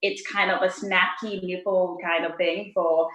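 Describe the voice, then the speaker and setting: A woman's voice: fairly low pitch, level tone, measured pace, a bit bright. Young Thai woman with an echoey sound. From Thailand. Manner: friendly, explaining step by step. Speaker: guest chef. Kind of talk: cooking demonstration. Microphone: video call.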